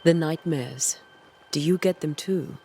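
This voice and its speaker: An actor playing a steady, dutiful ghost hunter in a period game, calm and plain-spoken with archaic phrasing. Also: male voice, fairly high pitch, takes it slowly, a little hiss or hum. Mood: calm, inquiring. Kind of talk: dramatic period game acting.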